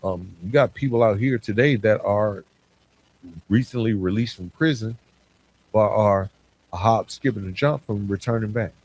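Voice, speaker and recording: A man hosting a podcast solo, speaking reflectively and earnestly in an informal way, often pausing mid-sentence. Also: on the low side, darkish tone, husky, poor audio. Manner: earnest, halting delivery with pauses mid-sentence. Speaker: podcast host.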